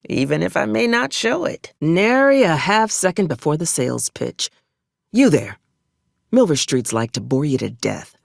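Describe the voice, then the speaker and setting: A woman's voice: low voice, no echo. A woman playing a sardonic, bloodthirsty corporate officer in a video game, with dry, haughty delivery and folksy, ornate, old-fashioned phrasing. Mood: sly, persuasive. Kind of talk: video game character dialogue.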